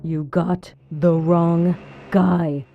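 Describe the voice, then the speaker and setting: A woman's voice: lowish voice, steady tone, extremely slow, faint music behind. A woman playing an elusive, defiant masked vigilante in an audio crime drama, insistent and secretive in manner. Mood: urgent, pleading. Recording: dull sound with faint background noise.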